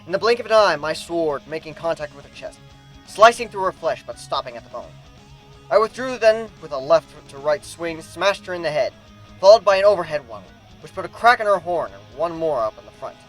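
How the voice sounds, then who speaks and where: A man narrates a fantasy tale in an amateur dramatic reading. His voice sits high for a man and moves with some liveliness. Music plays softly behind him. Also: rough recording. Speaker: amateur fan fiction reader. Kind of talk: dramatic first-person story narration. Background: background music.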